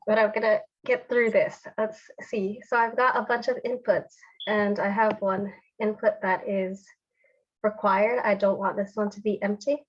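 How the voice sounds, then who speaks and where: Woman, congested cold voice, steady step-by-step tutorial, slightly muffled call audio. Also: medium pitch, normal speed. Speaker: developer demonstrating a technique. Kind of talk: screen-share software tutorial.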